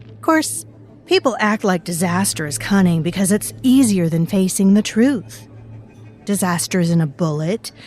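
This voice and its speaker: A low, mellow voice of an ominous unseen presence, voiced by a woman, narrating an audio drama. She muses darkly and addresses the listener directly, with faint music underneath. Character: mysterious unseen presence dwelling in a girl's mind.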